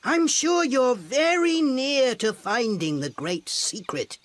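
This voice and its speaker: A woman voicing a wily, secretive old father-in-law in a storybook tale, speaking emphatically with dramatic pauses. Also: high-pitched, lively intonation. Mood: excited.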